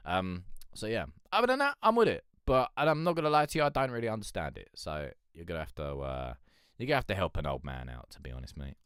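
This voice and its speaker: Deep bloke reviewing tracks, smooth clear voice, swears a lot, rambles, dry close sound. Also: male voice, very animated, fast talker, a bit bright, dry recording. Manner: frank, rambling, swears freely, lots of fillers. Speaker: music review show host. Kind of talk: casual chat reviewing submitted songs.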